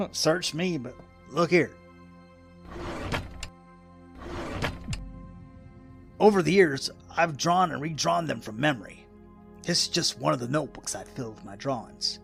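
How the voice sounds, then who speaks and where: An actor playing a folksy, rambling old American hermit in a radio drama, with a gravelly, raspy voice and an excitable rustic manner. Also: male voice, fairly high pitch, moderate pace, faint music behind. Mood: reflective. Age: older.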